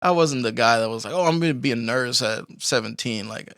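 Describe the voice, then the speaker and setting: A man's voice: mid-range voice, fairly quick, creaky, a bit bright, no echo, clean studio sound. A young man from Colombia telling his life story in a casual interview, rambling with lots of fillers and frequent laughs. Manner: rambling, laughs often, many fillers like "like" and "you know". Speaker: podcast guest.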